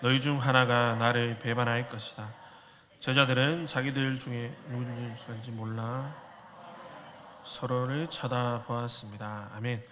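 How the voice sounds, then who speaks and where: Joking Korean pastor, church hall reverb. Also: male voice, mid-range voice, steady tone, measured pace, fairly bright tone. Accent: slight Korean regional dialect. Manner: joking, conversational preaching. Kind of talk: sermon. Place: church sanctuary.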